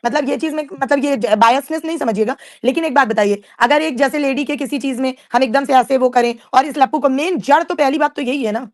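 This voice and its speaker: A woman's voice: sits high, a little fast, few pauses. A woman hosting a casual live discussion, moderating the panel and chipping in with her own suggestions.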